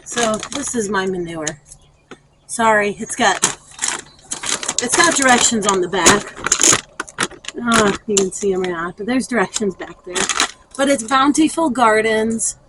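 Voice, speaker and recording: A woman's voice: medium pitch, a little slow, pauses often, heavy background noise. A woman talking informally to viewers as a gardening vlogger, thinking aloud through a hands-on demonstration.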